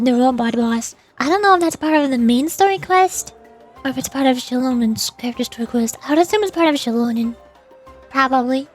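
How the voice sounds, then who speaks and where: A woman livestreaming a video game, chatting casually in a playful, rambling way and muttering little asides to herself. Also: high-pitched. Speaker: livestream host, VTuber playing a video game. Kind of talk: casual gaming stream chat. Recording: music behind the voice.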